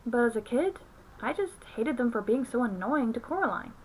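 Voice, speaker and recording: A woman's voice: on the high side, animated, normal speed, slight background noise, rough recording. A young adult woman narrating a scripted film review as the reviewer.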